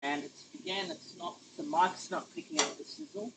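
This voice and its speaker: A man's voice: high-pitched, big pitch swings, moderate pace, very noisy. A man chatting casually to his viewers while he cooks on a live stream. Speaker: cooking livestreamer. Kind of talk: casual live cooking chat.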